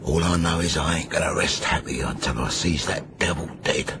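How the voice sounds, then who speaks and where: An actor playing a vengeful, injured ship's cook with a rough, folksy way of talking in a radio drama. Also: male voice, a bass voice, steady tone, moderate pace, background noise. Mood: bitter, vengeful. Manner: rough folksy dialect, muttered menace.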